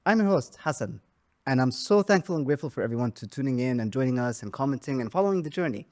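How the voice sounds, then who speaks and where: A man's voice: medium pitch, animated, quick pace. A man hosting a podcast interview, thankful and self-deprecating as he introduces his guest. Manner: warm, grateful, self-deprecating. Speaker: podcast host.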